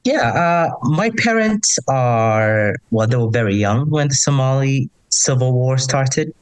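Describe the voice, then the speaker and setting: A man's voice: mid-range voice, lively intonation, measured pace, clear, resonant tone, dry recording. A young man from Ohio, a podcast guest, speaking earnestly with fillers like uh and right. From Ohio, United States. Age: young adult. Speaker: podcast guest, committee treasurer. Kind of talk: casual interview conversation.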